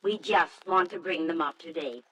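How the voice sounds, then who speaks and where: Measured, formal adoption caseworker. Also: female voice, low voice, pushed and bright. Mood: brisk, official. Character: businesslike, courteous adoption agency caseworker, Miss Oliver. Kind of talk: 1940s radio acting. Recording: noisy old radio drama recording.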